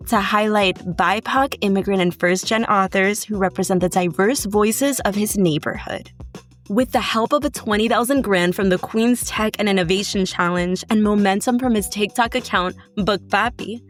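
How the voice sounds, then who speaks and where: Casting note: woman podcast host, mid-pitched, measured read, faint music underneath. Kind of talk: scripted intro and interview questions.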